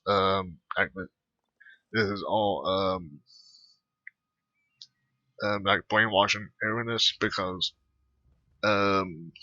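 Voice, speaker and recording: Male gamer, low bright voice, dull dry mic, casual rambling play commentary. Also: no echo. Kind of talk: casual game commentary, reading choices aloud.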